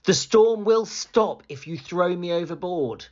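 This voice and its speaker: A remorseful, earnest prophet, a grown man, as a storybook reader plays him, read slowly on a dull lo-fi recording. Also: high voice, lively intonation, a little mellow, pitched above the performer's own voice.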